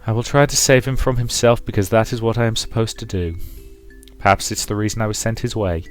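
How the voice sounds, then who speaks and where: A man reading the part of a gentle, resolute grown daughter in a visual novel, soft, earnest and measured. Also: on the low side, fairly even intonation, close to an everyday voice. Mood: forgiving. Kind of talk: dramatic visual novel read-aloud. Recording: music behind the voice.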